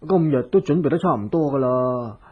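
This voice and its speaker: An actor playing a doting, simple fat husband and cook in a Hong Kong Cantonese radio drama, with a fawning drawl. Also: male voice, medium pitch, expressive, measured pace, husky, highs cut off. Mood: easygoing, reassuring.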